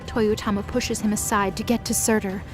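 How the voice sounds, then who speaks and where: A woman's voice: medium pitch, steady tone, normal speed, music in the background. A woman giving dramatic scripted narration for a mythology audio story.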